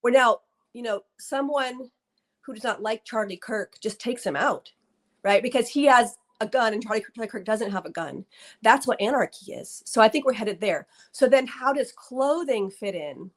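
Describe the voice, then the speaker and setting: A woman's voice: a little high, expressive, normal speed. A woman in her thirties, guest author in an interview, talking earnestly with many fillers and restarts. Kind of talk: conversational interview.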